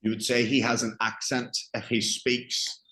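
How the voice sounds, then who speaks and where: A man's voice: medium pitch, level tone, a little slow, bright, forceful tone. A man with a Northern Irish accent teaching English one-on-one, explaining patiently with examples and questions. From Northern Ireland.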